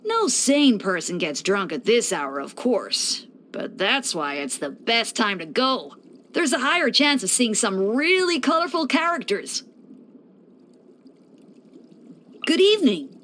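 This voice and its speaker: A woman's voice: a little high, very animated, a little slow, a bit bright, a little hiss or hum. A woman voicing a playful young male church deacon in a video game, breezy and teasing with theatrical mock solemnity. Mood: breezy, friendly. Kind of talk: scripted video game character voice lines.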